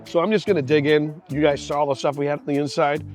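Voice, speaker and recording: A man's voice: fairly high pitch, steady tone, fast talker, darkish tone, faint music behind. A man with a Boston accent hosting a casual travel and food vlog, chatting in a rambling, enthusiastic way. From Boston area. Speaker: travel show host.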